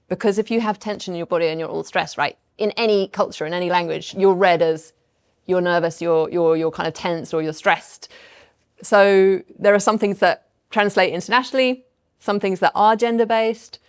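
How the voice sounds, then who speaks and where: A woman voice coach on a panel, explaining her ideas to an audience in a thoughtful, measured way with light laughs. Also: medium pitch, smooth. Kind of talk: panel talk answering audience questions.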